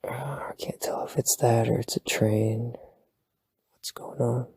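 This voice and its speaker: A man whispering softly at night in a rambling, playful, self-deprecating sleep-aid roleplay. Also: medium pitch, fairly even intonation, unhurried. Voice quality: breathy whisper. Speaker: ASMR boyfriend roleplay creator. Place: home at night.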